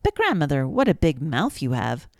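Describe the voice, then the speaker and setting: A woman voicing a trusting, polite little girl in a storybook reading, raising her voice higher. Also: wildly swooping pitch, normal speed, dry recording, studio quality, heavily acted. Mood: innocent.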